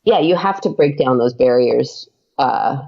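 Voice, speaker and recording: A woman's voice: very deep voice, expressive, measured pace, mellow, lo-fi sound. A woman guest in a casual podcast interview, chatty, with many fillers and restarts.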